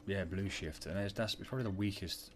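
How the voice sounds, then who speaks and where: A man with a London accent and a bassy voice giving rambling, self-deprecating commentary over a game he is playing, swearing now and again. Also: brisk, slight background noise. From England. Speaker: gameplay commentator. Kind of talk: casual let's-play commentary. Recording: game sound loud behind the voice. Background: video game sound.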